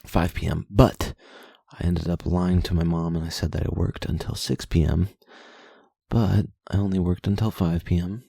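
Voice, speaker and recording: Very low, gravelly young man. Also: steady tone, moderate pace, mellow, dry recording, clean studio sound. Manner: profane, self-deprecating, rambling. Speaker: vlogger reading his journal. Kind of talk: late-night journal reading, casual.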